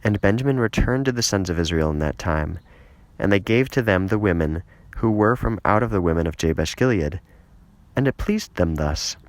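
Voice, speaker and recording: Low-voiced man reading scripture. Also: lively intonation, moderate pace, dry recording. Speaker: scripture reader. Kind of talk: scripted Bible narration.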